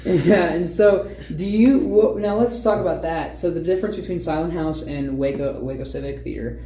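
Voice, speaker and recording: A man's voice: a little high, normal speed, dark tone. A man hosting a podcast, interviewing guests in a conversational way. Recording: roomy echo, background noise.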